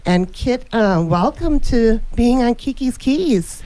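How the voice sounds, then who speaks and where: A woman's voice: fairly high pitch, lively intonation, slow, mellow, no room sound, low-quality recording. Upbeat woman radio host, dry studio sound. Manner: upbeat, chatty, introduces guests and sponsors. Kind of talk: casual radio interview.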